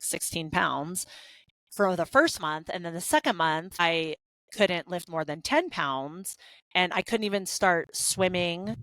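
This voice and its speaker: Woman, 30s, podcast co-host: low-ish, chatty, candid, dry studio sound. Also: a bit bright, no room sound, low-quality recording. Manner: chatty, candid, many fillers and restarts. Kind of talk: casual podcast conversation.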